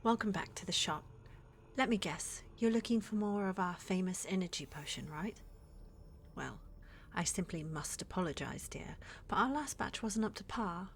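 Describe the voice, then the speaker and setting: A woman's voice: on the low side, lively intonation, moderate pace, slight background noise. Woman acting a flirty, sly witch shopkeeper, swooping intonation. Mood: coaxing, attentive. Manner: coaxing, teasing, addresses listener as dear. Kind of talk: cinematic ASMR fantasy roleplay.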